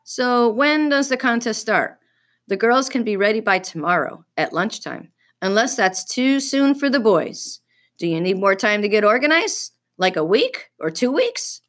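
A woman's voice: a little high, big pitch swings, unhurried, a bit bright, voice pushed up. A woman reading a children's story, voicing a stubborn, indignant fifth-grade girl who is snippy and haughty. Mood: challenging.

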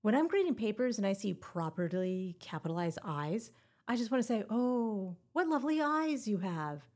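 A woman's voice: medium pitch, big pitch swings, moderate pace, a little mellow. Playful woman teaching test-prep. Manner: playful, encouraging, punny. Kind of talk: scripted educational podcast.

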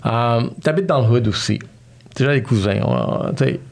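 A man's voice: mid-range voice, moderate pace, vocal fry, darkish tone. A man in his thirties with a Quebec French accent, telling his life story as a podcast guest, freely mixing English slang into his French. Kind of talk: casual storytelling interview.

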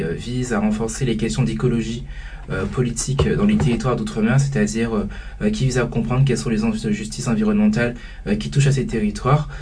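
A man's voice: medium pitch, far from the mic. A young man who heads a student association, speaking hesitantly in a panel discussion, with many fillers and restarts. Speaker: student association president, guest.

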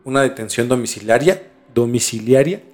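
A man with a Mexican accent telling a story casually as a podcast host, informal and halting as he searches for words. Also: medium pitch, animated, darkish tone.

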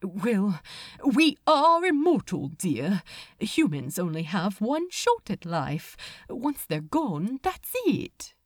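A woman's voice: medium pitch, sing-song, takes it slowly, no echo, clean studio sound. A woman voicing a prim, anxious male angel in an audiobook story, hesitant and placating in manner. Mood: fussy, placating.

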